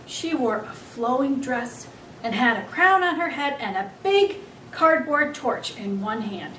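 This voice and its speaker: A woman reading a children's book aloud as an expressive storyteller. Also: sits high, a little slow, distant mic. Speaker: story reader. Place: echoey room, away from the mic. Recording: roomy, off-mic, faint background noise.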